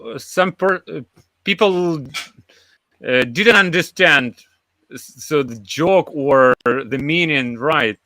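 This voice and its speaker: Halting man, bright dry voice. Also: on the high side, dry recording. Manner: halting, searching for words. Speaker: learner participant.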